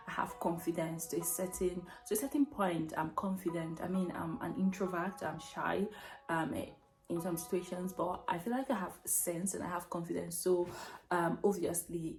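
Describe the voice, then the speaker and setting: Woman, Nigerian accent, young adult, casual storytelling, mellow low voice, roomy echo. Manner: chatty, self-mocking, laughs often. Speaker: vlogger telling a story. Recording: echoey room, faint music behind.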